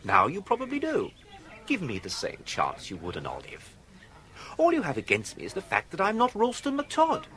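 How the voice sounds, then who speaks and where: An actor playing an urbane, unflappable young English gentleman in a radio comedy, speaking in a florid, mock-formal manner. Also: high-pitched, wildly swooping pitch, normal speed, full character voice. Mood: ardent, florid.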